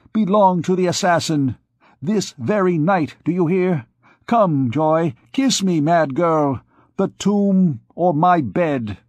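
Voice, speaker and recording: A man reading aloud, voicing a possessive, raving middle-aged priest who shouts threats in a frenzy. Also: fairly high pitch, no room sound, voice pushed up.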